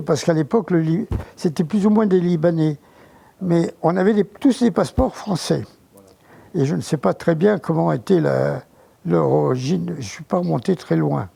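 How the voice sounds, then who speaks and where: An older man recalling his childhood in an interview, halting, with many false starts. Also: on the high side, vocal fry, dark tone. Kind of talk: reminiscing interview.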